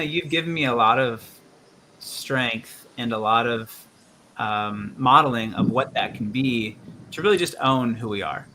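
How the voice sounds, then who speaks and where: A man hosting a conversation, talking in an earnest, thoughtful way with many pauses and fillers. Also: mid-range voice, bright, forceful tone, a little hiss or hum, low-quality recording. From United States. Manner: earnest, thoughtful, many ums and you-knows. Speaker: musician and show host. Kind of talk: reflective conversational interview.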